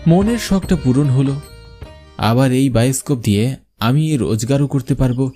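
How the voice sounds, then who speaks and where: Mellow man narrating with lively pitch. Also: fairly quick, faint music behind. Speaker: cartoon narrator and presenter. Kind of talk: scripted narration.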